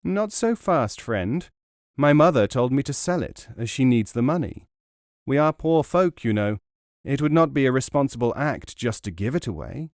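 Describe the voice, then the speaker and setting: A man's voice: medium pitch, big pitch swings, normal speed, a little mellow, no room sound, studio quality, voice pushed up. A man voicing a foolish, naive young man in a folk tale, earnest and pleased with his own cunning. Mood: earnest, cautious. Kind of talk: storytelling in a narrated folk tale.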